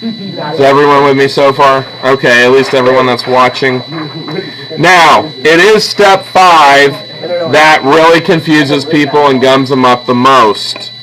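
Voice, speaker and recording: A man's voice: medium pitch, unhurried, crowd noise, poor audio. A man teaching a drawing class step by step, patiently and with frequent check-ins like "okay?". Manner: patient, checks in often with "okay?" and "all right?". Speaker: art teacher. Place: classroom with students present.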